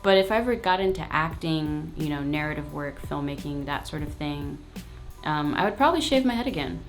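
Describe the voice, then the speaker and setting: A woman's voice: low voice, lively intonation, fairly quick, soft background music. A woman hosting her own video channel, answering viewers' questions in a frank, casual, slangy way. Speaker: YouTube host. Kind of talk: casual Q&A vlog.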